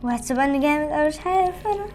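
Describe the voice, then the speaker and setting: A woman's voice: high-pitched, lively intonation, very fast, darkish tone, slight background noise. A woman with an Egyptian Arabic accent hosting casual, bantering gameplay in a whiny, pleading, competitive way. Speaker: gaming channel host.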